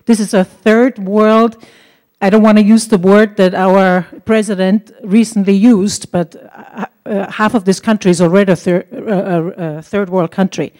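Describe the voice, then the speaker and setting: A woman's voice: medium pitch, darkish tone. A woman from Austria with an Austrian German accent, giving an earnest, increasingly indignant public comment as a resident at a hearing.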